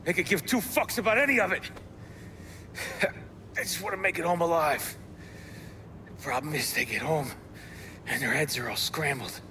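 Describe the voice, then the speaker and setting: A man's voice: on the high side, moderate pace, long pauses, sharp tone, a little hiss or hum. A man playing a wounded, cynical American rogue operative in a video game, ranting profanely and defiantly. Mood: bitter. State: badly wounded, coughing. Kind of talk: video game cutscene acting.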